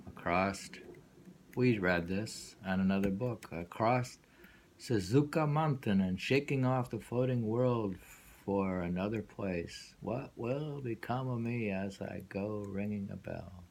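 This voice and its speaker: A man reading poems aloud with informal commentary, unhurried and musing, leaving pauses as he goes. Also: on the low side, creaky, slight background noise. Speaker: poetry reader.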